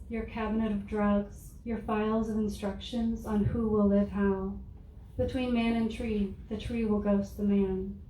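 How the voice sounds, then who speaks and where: A soft-spoken woman reading her own poems aloud in a measured, deliberate way to a live audience. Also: medium pitch. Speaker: poet reading her work. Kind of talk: poetry reading. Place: room with an audience. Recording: roomy, distant mic with faint noise.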